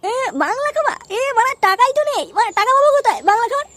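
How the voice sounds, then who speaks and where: A performer voicing a cheeky, foul-mouthed young cartoon villager in a squeaky pitched-up voice, excitable and complaining. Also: rapid-fire, heavily acted. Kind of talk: cartoon comedy dialogue.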